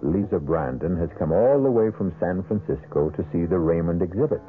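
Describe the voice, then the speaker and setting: A man playing the host of a 1970s radio mystery, narrating in a measured, theatrical, ominous way. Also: low-pitched, lively intonation, slight background noise. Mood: ominous, wry. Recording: muffled old radio with music behind. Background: music.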